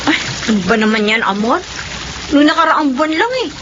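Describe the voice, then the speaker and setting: A woman's voice: high-pitched, expressive, fairly quick. An actress with a Filipino accent playing a nosy, startled young village woman in a radio horror drama. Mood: alarmed, curious. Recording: muffled, noisy riverside scene audio.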